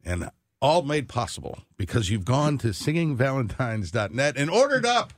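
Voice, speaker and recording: Animated American man hosting radio. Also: medium pitch, normal speed, no room sound, studio quality. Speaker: radio show host. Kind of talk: live radio banter and interview.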